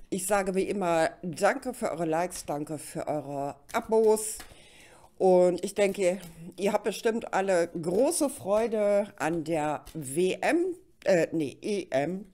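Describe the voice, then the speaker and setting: Tarot host: middle-aged woman, unhurried delivery, slightly low pitch, chatty and direct, slight head cold. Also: mellow. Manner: chatty, direct address to the listener. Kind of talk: informal tarot reading to camera. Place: indoors at a card table.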